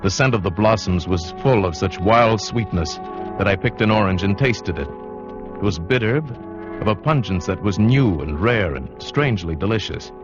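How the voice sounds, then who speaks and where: A man acts out a romantic, uneasy seafarer from a 1940s radio play. His low voice is measured and literary, courteous yet brooding. The dull vintage broadcast carries music. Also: expressive, close to an everyday voice. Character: thoughtful yachtsman adventurer, uneasy, romantic, courteous narrator-hero. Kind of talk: 1940s radio drama acting, first-person narration.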